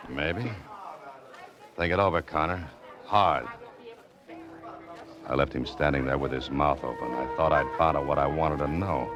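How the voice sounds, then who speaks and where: A very low-voiced, tough male sleuth in an old radio mystery. He is terse, deadpan and dogged, in hardboiled 1940s style. The recording is muffled and vintage, with music clearly underneath. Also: measured pace, pauses often, a crowd in the background. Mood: terse, determined. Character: tough, dogged male amateur sleuth, ex-newspaperman, narrating his case. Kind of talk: 1940s radio detective drama acting and hardboiled narration.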